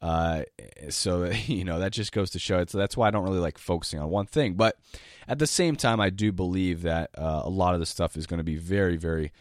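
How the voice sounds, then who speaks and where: Very deep-voiced sick man hosting a podcast. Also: brisk, no echo, studio quality. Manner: rambling and eager, with many restarts and occasional coughs. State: sick, voice lower than usual. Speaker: podcast host and jazz piano teacher. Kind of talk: casual solo podcast monologue.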